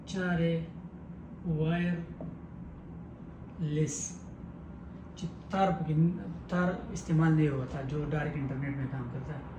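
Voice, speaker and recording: Guy explaining tech step by step, kinda high voice, echoey room. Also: muffled recording. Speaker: technical instructor. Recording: echoey room with clear background noise.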